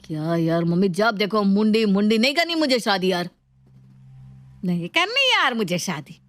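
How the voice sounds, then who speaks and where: A woman's voice: medium pitch, very animated, normal speed, fairly bright tone, dry recording. Young male office worker, grumbly yet smitten, slangy storybook dialogue, played by a woman. Dry, close sound. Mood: irritated, weary. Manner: casual Hinglish slang, grumbling or musing to himself.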